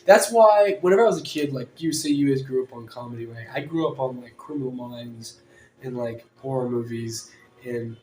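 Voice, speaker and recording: A man hosting a podcast in casual chat, rambling and joking with bursts of loud laughter. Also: medium pitch, moderate pace. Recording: roomy room with faint ambience.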